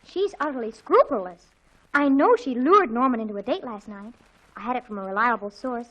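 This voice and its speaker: A melodramatic teenage girl in an old radio comedy. The voice is high and bright, with gushing, indignant delivery that takes offence easily. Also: fairly quick, poor audio. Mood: sulky, dramatic. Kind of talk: 1940s radio comedy acting. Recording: 1940s radio broadcast.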